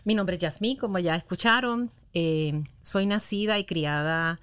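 A middle-aged woman gives her testimony in a steady, earnest way. Her voice sits a little low and sounds smooth and clear. The sound is close and dry, with no room around it. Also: unhurried, no room sound. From Puerto Rico. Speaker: interview guest. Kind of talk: personal testimony on a church radio show.

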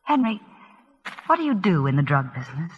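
A woman's voice: lowish voice, sing-song, brisk, a little hiss or hum. An actress playing an anxious, earnest married woman urgently confiding in a friend, in 1940s radio drama style. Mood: concerned, probing. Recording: muffled old radio broadcast, telephone-like tone.

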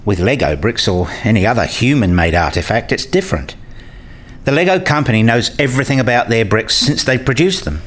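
A man's voice: mid-range voice, expressive, fairly quick, fairly bright tone, husky. A man playing an inquisitive hobbyist in a radio play, questioning and reasoning aloud.

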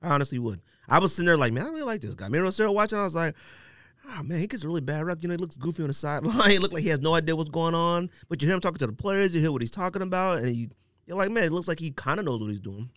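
Fast, animated man debating sports. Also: a little high, no echo, studio quality. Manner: animated, slangy, argumentative. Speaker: sports podcast co-host.

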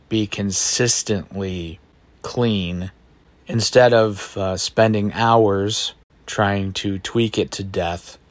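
A man with a baritone voice hosting a solo podcast, thinking aloud in a reflective, self-deprecating way, recorded on a phone in a bedroom at home. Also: no echo. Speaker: podcast host. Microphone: phone microphone.

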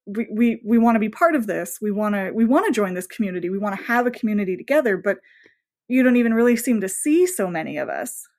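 A woman's voice: mid-range voice, fast talker. Woman chatting as an enthusiastic, bookish guest. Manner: animated storytelling with bookish, nerdy enthusiasm. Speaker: podcast guest, author. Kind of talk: casual interview conversation.

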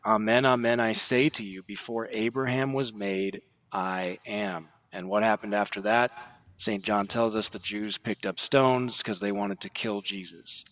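Man with a somewhat low voice on a religion podcast, earnest and measured, quoting bible verses. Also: fairly even intonation, fairly bright tone. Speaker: podcast guest, article writer. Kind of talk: religious discussion, quoting scripture.

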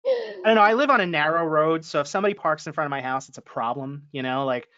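A man's voice: on the high side, fast talker, sharp tone, highs cut off. A man with an American accent chatting informally as a podcast panelist, his talk full of casual filler phrases. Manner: informal, peppers talk with "like" and "you know". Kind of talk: casual conversational banter.

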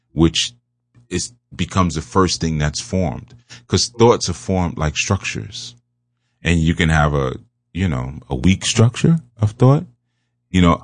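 A man giving a spiritual teaching talk, speaking slowly and emphatically and repeating his key phrases. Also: a bass voice, no room sound.

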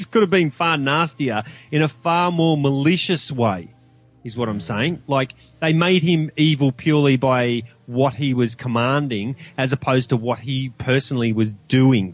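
A man as a podcast guest in casual film discussion, rambling and stammering as he searches for words. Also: medium pitch. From Australia.

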